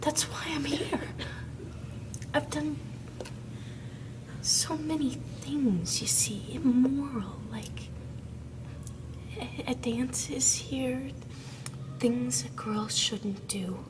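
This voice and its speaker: Naive guilty young captive girl, whispery n slow, kinda high, pleading, noisy background, audio drama. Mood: anxious, remorseful. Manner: whispery, hesitant, childlike pleading. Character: naive, guilt-ridden young female captive, eager to obey. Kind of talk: audio drama acting, hushed confiding.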